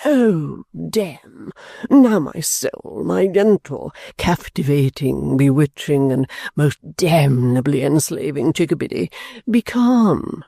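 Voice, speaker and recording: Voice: mid-range voice, big pitch swings, takes it slowly, vocal fry, mellow, dry recording, studio quality, exaggerated voice. A reader voicing an affected, foppish man pleading in wheedling baby talk and mild oaths.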